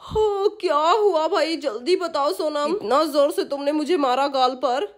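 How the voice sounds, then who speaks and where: A woman's voice: sits high, unhurried, voice pushed down. A woman voicing a sleepy, grumbling father in a children's doll cartoon, drowsy and complaining. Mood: annoyed.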